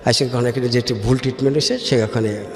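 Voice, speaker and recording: A man with a Bangladeshi accent telling his personal story as a radio interview guest, haltingly and often repeating words. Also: mid-range voice, fairly even intonation. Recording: echoey hall-like reverb with music. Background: music.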